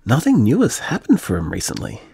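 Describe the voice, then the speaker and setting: An actor playing an anxious, frustrated, grieving man, halting and questioning as he vents in an audio drama voicemail. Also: medium pitch, huge pitch swings, creaky, mellow, breathy edge, dry recording, clean studio sound, full character voice. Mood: puzzled.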